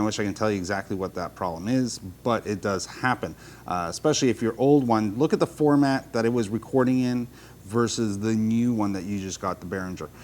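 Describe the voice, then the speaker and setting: A man casually answering viewer questions as a host, talking off the cuff in a helpful, loosely phrased way. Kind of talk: casual, unscripted explanatory talk. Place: showroom. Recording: clear low rumbling background noise.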